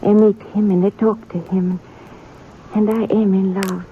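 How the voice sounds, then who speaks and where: A woman's voice: medium pitch, flat delivery, measured pace, lightly acted. An actress playing an earnest young immigrant woman in a 1950s radio western, speaking careful, simple phrases. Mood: pleading. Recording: tinny, noisy old radio recording.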